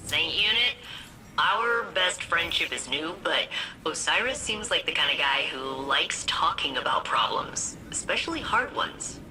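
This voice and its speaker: An actress playing a cheerful, chatty female ship AI in a video game, with peppy delivery and deadpan asides. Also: fairly low pitch, unhurried, slight background noise, full character voice, rough recording. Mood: earnest, helpful. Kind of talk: video game mission dialogue.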